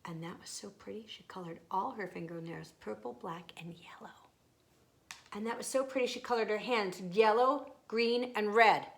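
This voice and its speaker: A woman who is a teacher reading a picture book aloud to children, expressive and emphatic, with asides to her young listeners. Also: mid-range voice, moderate pace, vocal fry.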